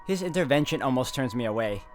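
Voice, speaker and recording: A man's voice: medium pitch, lively intonation, fast. Man narrating a game over music. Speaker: game playthrough host. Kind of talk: dramatic reading of visual novel text with casual commentary.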